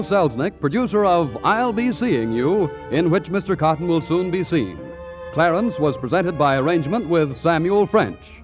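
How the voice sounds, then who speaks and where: Casting: male American vintage radio pitchman, emphatic, swooping delivery, muffled sound with music behind. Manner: formal, emphatic. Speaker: radio announcer. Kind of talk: 1940s radio advertising announcement. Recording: dull 1940s radio broadcast.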